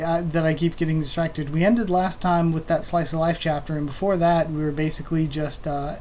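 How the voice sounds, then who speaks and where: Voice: mid-range voice, darkish tone, slight background noise. A manga read-through host talking casually into a desk microphone, rambling with many fillers and self-corrections.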